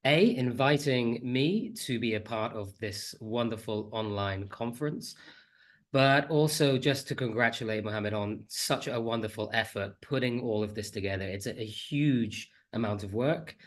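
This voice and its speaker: A man's voice: mid-range voice, normal speed. A man with a slight cold giving a chatty, friendly online conference talk as a teacher trainer, on a computer mic over a video call. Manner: friendly, chatty, self-deprecating.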